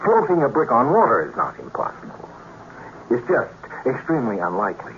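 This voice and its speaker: A man's voice: medium pitch, huge pitch swings, a little fast, a bit bright. A man playing an earnest, harried young lover who explains and pleads, with an American accent, in a 1950s radio drama. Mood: earnest, reasoning. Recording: muffled, noisy 1950s radio recording.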